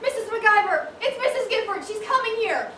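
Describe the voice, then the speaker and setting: A distant, noisy stage recording of a woman playing a young shop assistant. She sounds timid and dutiful, with a very high, anxious, deferential voice. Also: lively intonation, brisk, fairly bright tone, roomy sound. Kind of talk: amateur stage play acting.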